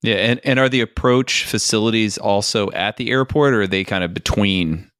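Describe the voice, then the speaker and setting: Deep, clear-voiced man interviewing casually. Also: moderate pace, fairly bright tone, no echo, clean studio sound. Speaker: podcast host, interviewer.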